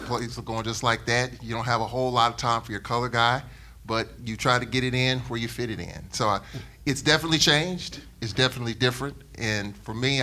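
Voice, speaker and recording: A man's voice: medium pitch, sharp tone. A middle-aged man, a radio sportscaster speaking off the cuff on a panel, emphatically and with repeated phrases for emphasis. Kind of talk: unscripted panel talk.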